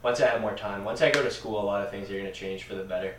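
A young man chats casually as the host, slipping in "like" and "dude" constantly. His voice sits a touch low. It sounds a little distant in an echoey room with faint background noise. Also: rapid-fire. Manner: laid-back, filler-heavy, says "dude" and "like" often. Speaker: podcast host. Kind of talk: casual chat among friends.

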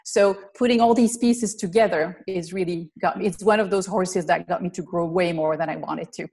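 A woman's voice: lowish voice, moderate pace, a little mellow. Woman, earnest explanatory webinar talk, video call audio. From Belgium. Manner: earnest, explanatory, lists things step by step. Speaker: physical therapist and riding instructor presenting a webinar. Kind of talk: online presentation.

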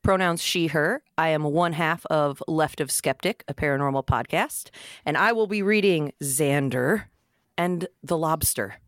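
A woman's voice: sits low, unhurried, dry recording, clean studio sound. A woman introducing herself casually as a guest on a podcast.

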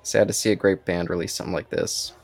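Male podcast co-host, lowish and creaky, informal music chat. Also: steady tone, fast talker. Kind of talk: casual chat about music.

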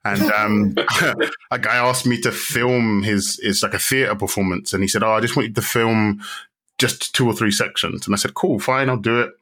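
Young man, London accent, lowish voice, chatty, lots of restarts and fillers. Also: fairly even intonation, moderate pace, bright, forceful tone. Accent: London English. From London, England. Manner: chatty, many restarts and fillers, self-deprecating. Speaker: guest, videographer. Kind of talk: casual interview chat.

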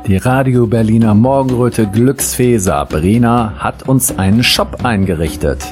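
A man's voice: medium pitch, a little slow. A man reading a scripted radio promo for merchandise with a tongue-in-cheek delivery. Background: loud music bed.

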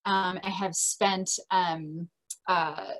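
A woman's voice: lowish voice, steady tone, very slow, fairly bright tone, low-quality recording. An American woman in her mid thirties talking casually and expressively about herself as an interview guest. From Delaware, United States. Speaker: podcast guest, teacher and coach. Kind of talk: casual personal storytelling in an interview.

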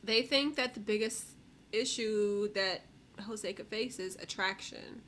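A woman's voice: mid-range voice, a little slow, fairly bright tone. A woman co-hosting a casual recap show, informal and slangy, retelling what others said in mock quotes. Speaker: co-host of a reality TV recap show. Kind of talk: casual chatty recap commentary.

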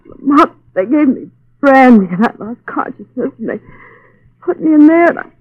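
Timid high-voiced young maid. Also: female voice, expressive, normal speed, slightly rough, no room sound. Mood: shaken. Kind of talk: 1940s radio acting. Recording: tinny phone-like old radio recording.

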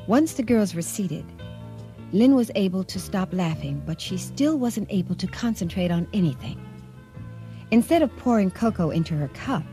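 A woman's voice: mid-range voice, mellow. A woman hosting and narrating a radio drama in a scripted storytelling style. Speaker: host and narrator.

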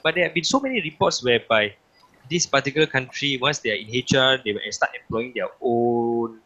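A man's voice: medium pitch, animated, fairly quick, pushed and bright, lo-fi sound. A Singaporean man talking in a casual podcast chat, impassioned and opinionated, often repeating himself.

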